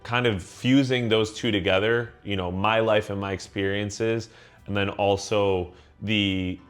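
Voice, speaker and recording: A young man from the United States talks through his thoughts in a slightly low, steady voice. He is earnest and conversational, with plenty of ums and false starts. Soft music runs faintly underneath. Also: measured pace. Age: young adult. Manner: earnest, with frequent ums and restarts. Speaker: vlogger. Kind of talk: reflective personal monologue.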